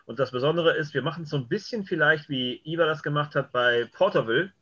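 Male guest, bright forceful voice, casual chat, slightly dull lo-fi recording. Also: fairly high pitch, quick pace. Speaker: interview guest, writer and director. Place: home room, video call. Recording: dull, lo-fi video call audio.

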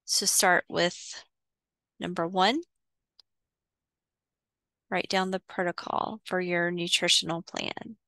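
A woman leading a guided visualization in a soothing, instructional way, pausing between short phrases. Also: lowish voice, fairly even intonation, pushed and bright, no room sound. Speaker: guided meditation host. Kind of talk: guided visualization, meditation narration.

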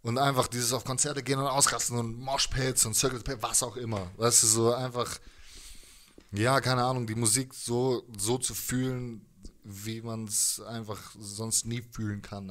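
A man in his thirties talks in a loose, slangy interview style. His pitch sits in a middle range. He rambles and jokes along casually, speaking into a handheld mic indoors. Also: little pitch movement, normal speed, a bit bright. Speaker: musician, interview guest. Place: living room music space, indoors. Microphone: handheld microphone.

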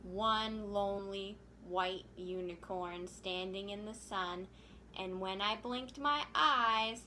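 A woman in her thirties hosts a children's storytime at a slow, measured pace. Her phrasing is simple and instructive, recorded indoors with faint noise. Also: mid-range voice, pushed and bright. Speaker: children's librarian and storytime host. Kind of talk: storytime presenting for young children. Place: small room at home, indoors. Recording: home video with faint background noise.

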